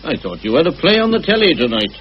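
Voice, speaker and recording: An actor playing an affable, chatty village police sergeant with a rambling, folksy manner in a radio drama. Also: male voice, fairly high pitch, big pitch swings, fast. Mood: affable, unhurried. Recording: dull, slightly hissy old radio recording.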